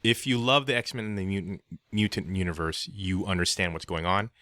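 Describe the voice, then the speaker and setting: A man's voice: low voice, fairly even intonation, a little fast, bright, forceful tone, no echo, studio quality. A man co-hosting a casual book club podcast, giving his opinions in a conversational way. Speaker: podcast co-host.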